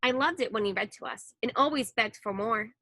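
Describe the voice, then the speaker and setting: A woman reading a children's story aloud in an expressive narrating style. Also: fairly high pitch, brisk, no room sound, studio quality. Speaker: storybook reader.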